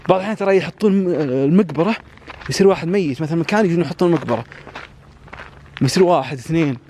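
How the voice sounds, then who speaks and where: Tense young man, hurried and gravelly. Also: a little high, slight background noise. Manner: hushed, tense, murmuring prayers and giving orders. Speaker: vlogger. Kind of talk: night exploration vlog. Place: outdoors at night, abandoned cemetery.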